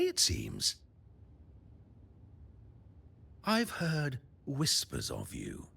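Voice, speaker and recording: An actor playing a pious, lofty high-ranking cleric in a video game, formal, measured and preachy. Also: male voice, creaky, fairly bright tone, slightly rough, exaggerated voice. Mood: smooth, welcoming. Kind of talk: theatrical video game voice acting. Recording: music behind the voice.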